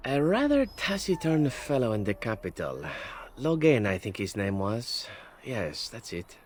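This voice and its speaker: A man's voice: medium pitch, huge pitch swings, measured pace. A man playing a flippant, talkative assassin in a video game, glib and wry, with teasing tag questions. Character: roguish, flippant male assassin, cheeky and talkative captive. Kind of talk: video game character acting.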